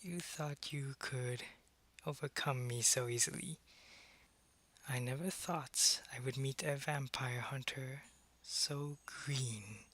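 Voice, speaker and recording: Man acting a slow, suave, menacing ancient vampire. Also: medium pitch, a bit bright. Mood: taunting, smug. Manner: slow, deliberate, taunting, condescending. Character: centuries-old male vampire, suave, menacing, possessive, gloating captor. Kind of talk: ASMR roleplay monologue.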